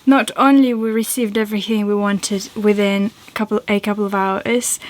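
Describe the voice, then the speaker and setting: A woman talking casually in a vlog, cheerful and chatty with fillers. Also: mid-range voice. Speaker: vlogger. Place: narrowboat.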